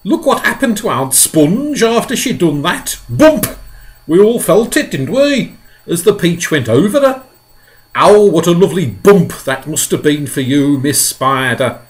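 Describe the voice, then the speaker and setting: Cheeky show-off centipede, played by a man, high, lively, sing-song storybook voice. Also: a little slow. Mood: gleeful. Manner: loud, gleeful, sing-song. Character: boisterous cheeky male centipede.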